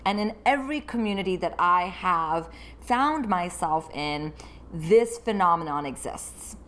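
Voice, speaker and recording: A woman therapist who grew up in the United States, hosting her own solo podcast and reflecting aloud in an unscripted way. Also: lowish voice.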